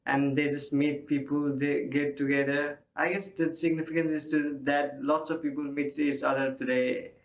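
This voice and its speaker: A young man answers interview questions earnestly, in plain, simple phrases. His voice sits slightly high, and the room around him is echoey. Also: little pitch movement, normal speed, hardly any pauses. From Nepal. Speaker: interviewed student. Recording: roomy echo.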